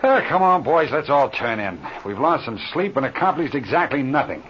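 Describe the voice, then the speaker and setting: An actor playing a brisk, authoritative aviator boss in an old-time radio adventure serial. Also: male voice, fairly high pitch, lively intonation, a bit bright. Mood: exasperated, dismissive. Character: calm, brisk, commanding male aviator boss. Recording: dull, noisy old radio serial recording.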